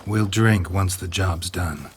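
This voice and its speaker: An actor playing a stoic, world-weary monster hunter in a video game, speaking in a terse, deadpan manner. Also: male voice, low voice, slow pace, slight background noise. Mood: impatient, blunt. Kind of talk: dramatic video game voice acting.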